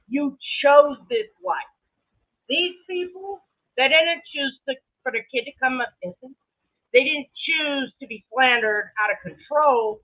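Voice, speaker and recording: A woman talking casually on a livestream in a brash, dramatic, sweary way, often laughing. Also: sits high. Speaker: livestream creator. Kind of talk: casual livestream commentary to viewers. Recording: muffled, narrow lo-fi sound.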